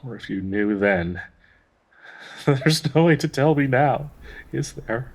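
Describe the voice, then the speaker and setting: An actor playing a devoted, grieving male dryad in an intimate audio roleplay, speaking tenderly and haltingly with a husky, breathy voice. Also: mid-range voice, lively intonation. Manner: slow, halting, tender pleading with sighs.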